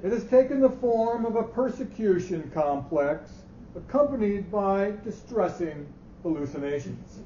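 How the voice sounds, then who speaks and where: An actor plays a fussy old male boss, grave and formal, who halts and hedges as he goes. The voice is high and mellow. It comes from a staged reading recorded live in an echoey room. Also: animated, measured pace. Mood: grave, awkward. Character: elderly male company boss, hesitant and fussy. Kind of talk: staged dramatic reading of a short story. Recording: roomy, muffled live recording with hiss.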